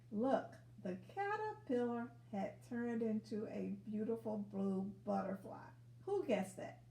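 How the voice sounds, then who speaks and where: A woman reading a children's story aloud expressively, pausing to encourage young listeners with questions. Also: on the high side, dark tone. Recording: echoey room sound.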